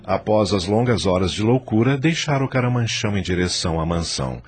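A man narrating a radio drama with a measured, dramatic delivery. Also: lowish voice, expressive, over music.

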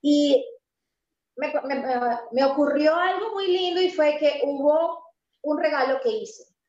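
A woman being interviewed in a casual conversation, animated and emphatic, slowing down to stress her points and laughing easily. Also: sits high. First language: Spanish. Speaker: interview guest, pastry entrepreneur. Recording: roomy, slightly dull sound.

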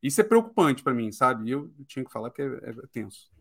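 A Brazilian man hosting a casual podcast discussion, talking in an emphatic, opinionated way and often tagging his points with a quick question. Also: medium pitch, big pitch swings, vocal fry, a bit bright. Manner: opinionated, emphatic, keeps asking "né?".

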